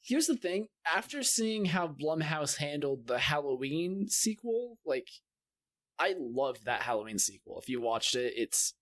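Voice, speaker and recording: High-pitched man, casual and blunt. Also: big pitch swings, measured pace, a bit bright. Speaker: podcast co-host. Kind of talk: casual chat.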